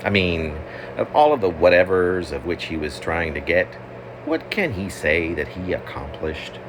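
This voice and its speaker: A man reading his own poem as a wry, halting, digressive literary monologue. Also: low voice. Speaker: poet reading own work. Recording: clearly noisy, steady background hum.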